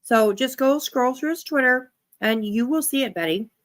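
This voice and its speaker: A woman's voice: high voice, normal speed. A woman giving casual reaction commentary as a livestream host, talking in a blunt, sweary way.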